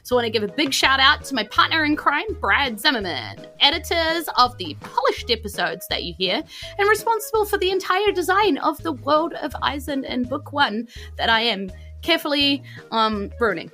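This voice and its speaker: A woman with a New Zealand accent, hosting and narrating a tabletop game podcast in a playful, expressive, enthusiastic way. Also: high-pitched, normal speed, faint music behind. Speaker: game master and podcast host.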